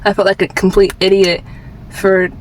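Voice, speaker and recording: A woman's voice: mid-range voice, flat delivery, normal speed, a little hiss or hum, rough recording. A twenty-year-old woman vlogging with candid personal advice in an informal, rambling, earnest way, repeating phrases for emphasis.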